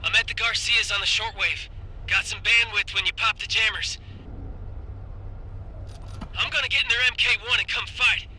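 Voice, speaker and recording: High-pitched, hotheaded brother over radio. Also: male voice, normal speed, pauses often, bright, forceful tone, slight background noise, rough recording. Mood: urgent. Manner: impassioned, insistent. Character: hotheaded, loyal younger brother eager to fight. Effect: radio transmission. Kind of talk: video game cutscene acting.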